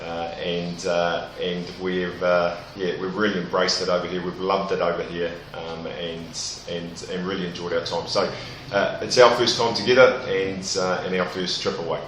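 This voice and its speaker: A man's voice: low-pitched, level tone, normal speed, hardly any pauses, fairly bright tone, a little hiss or hum. A man, the team captain, answering interview questions hesitantly with many fillers. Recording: very echoey room.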